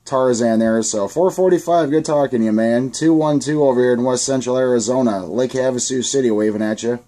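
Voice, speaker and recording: A man's voice: mid-range voice, a little fast, warm tone. A man from the United States chatting casually and amiably over CB radio, using radio slang. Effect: CB radio transmission. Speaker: amateur CB radio operator. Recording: CB radio with faint static.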